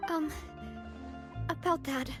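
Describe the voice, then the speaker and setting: A confident young gang leader. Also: female voice, high-pitched, fairly even intonation, slow pace, music in the background. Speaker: boss of a group. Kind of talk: game voice acting.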